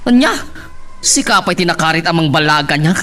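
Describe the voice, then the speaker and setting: A man's voice: on the high side, lively intonation, brisk, bright, forceful tone. A man playing an exasperated, responsible older brother in an Ilocano radio drama, with a Filipino Ilocano accent.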